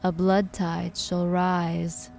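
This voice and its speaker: A woman playing a solemn, ominous storyteller, narrating a dark audio drama in a measured, foreboding and sometimes hushed way. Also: fairly low pitch, completely flat delivery, vocal fry, a little hiss or hum, exaggerated voice.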